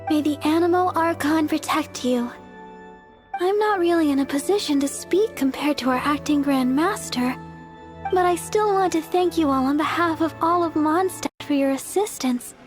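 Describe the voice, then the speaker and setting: A woman's voice: sits high, normal speed, lightly acted. A woman voicing a sweet young deaconess. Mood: earnest. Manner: polite, earnest. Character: gentle young female church deaconess. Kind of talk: game cutscene dub. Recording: game cutscene with faint music.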